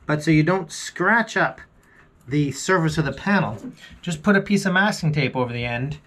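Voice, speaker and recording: A middle-aged man with a slightly high voice walks through repair tips in a casual, self-deprecating way. He is recorded on a camera mic inside a small wooden boat cabin. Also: animated, moderate pace, fairly bright tone. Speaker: vlogger. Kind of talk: casual how-to vlog narration.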